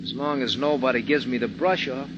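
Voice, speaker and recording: A man's voice: mid-range voice, fairly quick, muffled recording, close to an everyday voice. An American man playing a mean, threatening gunman in a 1950s radio drama, talking tough in slangy, clipped phrases. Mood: smug. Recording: noisy 1950s radio recording.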